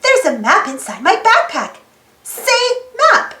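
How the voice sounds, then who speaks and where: A woman reading a children's picture book aloud in an animated way, putting questions to young listeners. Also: high-pitched, measured pace, echoey room. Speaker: storybook read-aloud host.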